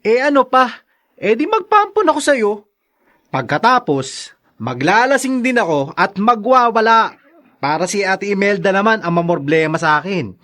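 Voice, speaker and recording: A mischievous Filipino friend full of silly schemes for radio drama. The voice is very high for a man and swings animatedly up and down. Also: sing-song, moderate pace, pushed and bright, full character voice. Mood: teasing.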